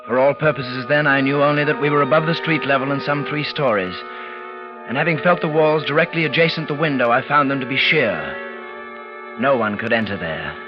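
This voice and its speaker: An actor playing a tense man recounting a night of terror in halting, dramatic phrases, in 1940s radio style. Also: medium pitch, close to an everyday voice. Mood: brooding. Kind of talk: 1940s radio acting, first-person suspense narration. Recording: muffled vintage 1940s radio recording, music behind.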